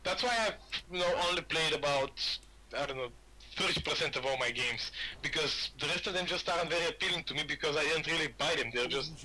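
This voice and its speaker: A man's voice: a little high, quick pace, pushed and bright, slightly rough, low-quality recording. A man from Bulgaria chatting casually on a gaming podcast, rambling and often repeating his words. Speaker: podcast host.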